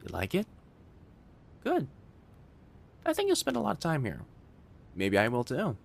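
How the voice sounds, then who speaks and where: A man playing a friendly, attentive young kitsune college student in an audio roleplay, speaking directly to the listener with soft teasing and hesitant pauses. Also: a little high, huge pitch swings, gravelly, a little hiss or hum, heavily acted. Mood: playful, welcoming. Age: young adult. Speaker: campus guide to a new student. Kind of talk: scripted boyfriend-style audio roleplay.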